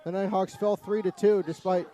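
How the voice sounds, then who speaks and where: A man's voice: high voice, fairly even intonation, moderate pace, dark tone, soft background music. A man giving live baseball commentary as part of a broadcast booth.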